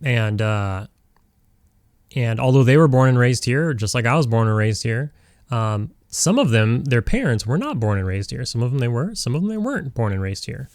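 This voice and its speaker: A man's voice: mid-range voice, very animated, normal speed, a little mellow, dry recording, studio quality. Rambling Californian gamer in his forties. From Southern California. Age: 40s. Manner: rambling, many fillers and restarts. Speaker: gaming streamer. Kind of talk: casual chat while playing a game.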